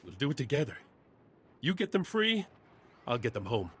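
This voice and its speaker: Raspy wary rescuer in a video game, medium pitch, determined and decisive. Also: male voice, huge pitch swings, normal speed. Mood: determined urgency. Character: wary, determined male rescuer of war captives. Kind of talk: scripted video game dialogue.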